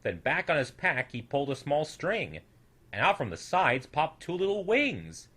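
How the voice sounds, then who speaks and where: A young man reading a rhyming children's story as an expressive, theatrical storyteller with a sing-song rhythm. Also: a little high, moderate pace, breathy edge. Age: young adult.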